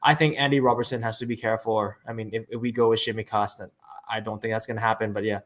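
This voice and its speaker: A man's voice: lowish voice, level tone, fast, fairly bright tone. A man talking football tactics in a casual, hesitant way. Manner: hesitant, many restarts. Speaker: podcast panelist. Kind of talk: football analysis chat.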